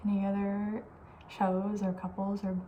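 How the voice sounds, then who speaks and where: A soft-spoken woman hosts a casual ASMR chat at a medium pitch. Her voice slips toward a breathy whisper as she rambles conversationally and gives playful opinions. A steady hiss and room ambience sit behind her. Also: a little slow, muffled recording. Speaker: ASMR video host.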